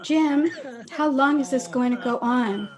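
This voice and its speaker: A woman's voice: high-pitched, measured pace, crowd noise. A woman playing a skeptical young partygoer with a dry, disdainful manner, in old-time radio style. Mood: scornful.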